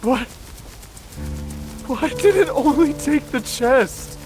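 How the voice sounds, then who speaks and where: A man's voice: extremely high pitch, lively intonation, slow, full character voice. A nervous young martial disciple for a fantasy game, dragging out his words. He sounds hesitant and whiny, easily flustered, with music playing underneath. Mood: worried. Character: anxious, flustered young male sect disciple. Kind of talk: dramatic game cutscene acting. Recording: music behind.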